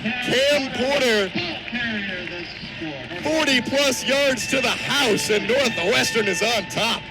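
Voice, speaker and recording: An American man in his twenties calling live football on radio as play-by-play announcer, energetic and vivid. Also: very high-pitched, slow, pauses often, loud music behind the voice, rough recording. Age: 20s. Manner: energetic, vivid, builds to excited shouts on big plays. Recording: outdoor stadium ambience, clear background noise. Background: stadium noise.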